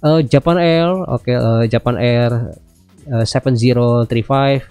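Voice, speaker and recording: An Indonesian man in his thirties talks through a game in a soft, mellow voice. His delivery is casual and chatty, slipping into English radio-style phrases, with faint music behind him. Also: medium pitch, fairly even intonation, slow pace, clear, resonant tone, no echo. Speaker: gaming video host. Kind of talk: casual gameplay commentary. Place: indoors at a computer, music behind.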